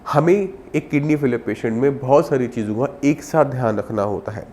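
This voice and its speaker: Casting: male doctor-presenter, mid-pitched voice thickened by a cold, instructive delivery, some noise behind. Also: a little fast, mellow. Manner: instructive, addresses viewer directly with simple examples. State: sore throat and a cold. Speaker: doctor presenting health advice. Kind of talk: explanatory health advice to camera.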